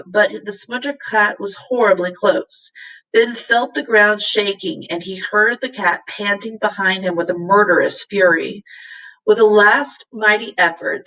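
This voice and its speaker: A woman's voice: medium pitch, fairly bright tone, distant mic, rough recording. A woman reading a children's story aloud with dramatic expression, as a teacher does for a class. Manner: dramatic, acts out the characters' lines.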